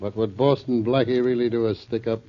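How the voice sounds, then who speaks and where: A man's voice: medium pitch, steady tone, fairly quick, lightly acted. A male actor playing an easygoing fat gambling-den owner, wry and given to chuckling, in a 1940s radio drama. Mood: resigned. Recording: muffled 1940s radio recording.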